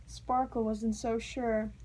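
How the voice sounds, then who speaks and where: A woman narrating a children's storybook aloud in an expressive, playful storytelling manner. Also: a little high, slow pace, a little hiss or hum, rough recording. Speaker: storybook reader.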